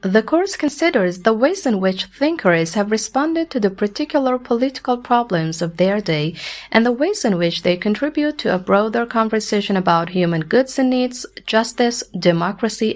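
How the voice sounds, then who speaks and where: A woman's voice: medium pitch, animated, normal speed. A woman reading formal passages aloud as scripted narration. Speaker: pronunciation practice narrator.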